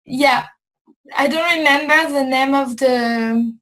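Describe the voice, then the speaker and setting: Slow, high-voiced woman host, groping for words. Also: a bit bright. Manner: slow, repetitive, searching for words. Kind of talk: casual chat.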